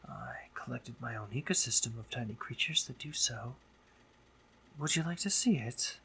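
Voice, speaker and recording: A man playing a dominant, playful spider monster boy in a romantic roleplay audio drama, teasing and doting in deliberate phrases with breathy murmurs. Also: mid-range voice, expressive, bright, forceful tone, a little hiss or hum. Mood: doting, instructive. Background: ambient sound effects.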